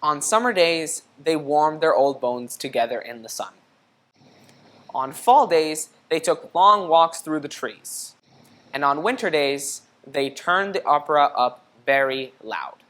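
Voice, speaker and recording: Female children's storybook reader, low register, expressive and teacherly, interactive read-aloud delivery. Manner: teacherly, expressive, pausing to ask questions.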